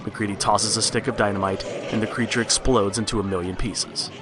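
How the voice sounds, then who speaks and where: A man's voice: on the low side, fairly even intonation, a little fast, very noisy. A man narrating a scripted horror story in the style of a read-along storybook record.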